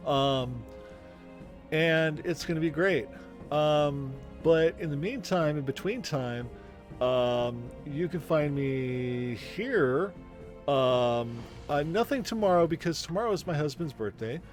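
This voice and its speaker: A man in his forties, the game master, chatting casually and rambling with many pauses. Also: a little high, lively intonation, faint music behind. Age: 40s. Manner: rambling, hesitant. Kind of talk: casual stream chat.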